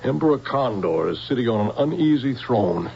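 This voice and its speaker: A creaky-voiced old professor, weary and wry, speaking in grand bitter phrases. It is vintage radio drama, muffled and laced with hiss. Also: male voice, mid-range voice, big pitch swings, normal speed. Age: older.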